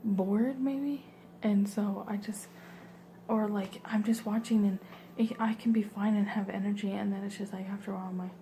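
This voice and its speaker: A young woman tells a story in a soft, hushed whisper, wandering off track with plenty of "like" and "um". Her voice stays flat and mellow. A faint hiss sits behind her. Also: mid-range voice, normal speed. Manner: soft whisper, rambling with many fillers.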